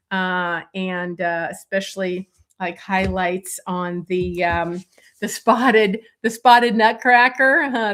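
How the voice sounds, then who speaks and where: A middle-aged woman illustrator chatting casually on a live stream, with many fillers and a voice going hoarse. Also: mid-range voice, fairly bright tone. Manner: many fillers, talks while showing pages. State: losing her voice, coughing.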